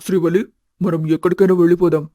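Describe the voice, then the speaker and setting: A man voicing a sincere, gentle young husband in an animated family story, earnest and subdued. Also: high voice, quick pace, dry recording, studio quality, close to an everyday voice. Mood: troubled. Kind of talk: animated family drama dialogue.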